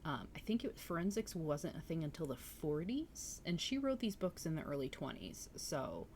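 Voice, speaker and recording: A woman's voice: deep voice, very animated, moderate pace, a little mellow, a little hiss or hum. A woman chatting casually and enthusiastically as host of a book-reading livestream, responding to viewers as she goes. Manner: chatty, enthusiastic, reacting to chat comments.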